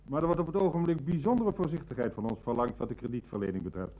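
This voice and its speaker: An actor playing a polite, strained banker in a Dutch radio play. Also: male voice, medium pitch, quick pace, natural voice. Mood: formal, apologetic. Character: polite, strained male banker under suspicion. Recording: dull, band-limited radio play recording.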